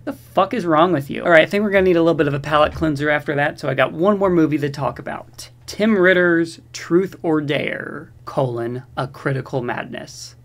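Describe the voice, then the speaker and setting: A man with a voice slightly on the high side talks through a movie review very casually. He rambles in loose, slangy phrases and keeps a wry, sarcastic edge, as a host chatting to viewers. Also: fairly quick, few pauses.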